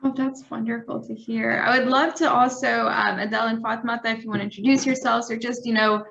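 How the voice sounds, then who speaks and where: A woman's voice: fairly high pitch, little pitch movement, a little fast, gravelly, fairly bright tone. A woman moderating a video call discussion, friendly and encouraging. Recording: dull lo-fi call audio.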